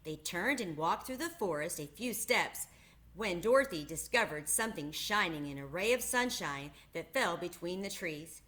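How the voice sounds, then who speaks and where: A woman reading a children's book aloud, narrating with expressive storytelling. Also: on the low side, moderate pace. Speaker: reader.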